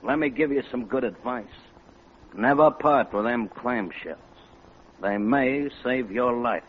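A man's voice: mid-range voice, unhurried, natural voice. Man playing a slangy, menacing racketeer on muffled old radio. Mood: menacing, smug. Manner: gruff, slangy, intimidating. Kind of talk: 1940s radio comedy acting. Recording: dull, noisy old radio recording.

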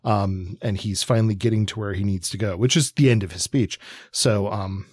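A man's voice: fairly low pitch, normal speed, a little mellow, breathy edge, dry recording, studio quality. A man in his forties chatting on a podcast, rambling with lots of fillers and restarts. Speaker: podcast co-host. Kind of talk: casual chat about comics.

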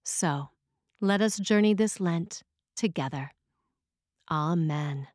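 A woman reading a scripted sermon as a preacher, in a measured, reflective way. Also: fairly low pitch, darkish tone, no echo, studio quality.

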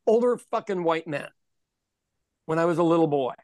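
Animated middle-aged man telling stories, dry sound. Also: a little high, normal speed, no echo. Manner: animated storyteller, acts out quoted voices. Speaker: podcast host. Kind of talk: casual conversation.

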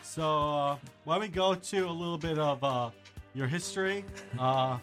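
A man hosting a radio show in a casual interview style, speaking informally and haltingly. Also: fairly high pitch, a bit bright, soft background music.

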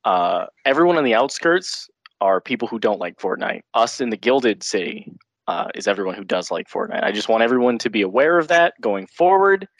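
A man's voice: mid-range voice, sing-song, brisk, fairly bright tone, dry recording. A man in his twenties, a guest on a podcast, riffing playfully. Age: 20s. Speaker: guest wrestler. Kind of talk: casual podcast interview.

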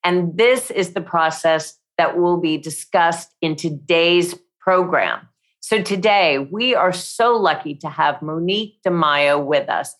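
A woman with a somewhat low voice hosts an interview. She reads her introduction in a polished, measured way and praises her guest warmly and effusively. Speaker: host. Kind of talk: interview hosting with a read introduction.

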